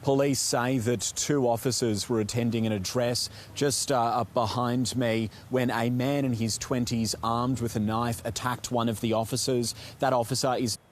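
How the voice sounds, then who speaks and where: A man gives a live news report from a street in an Australian accent. His pitch is average, and there is faint outdoor ambient noise around him. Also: fairly even intonation, moderate pace, few pauses.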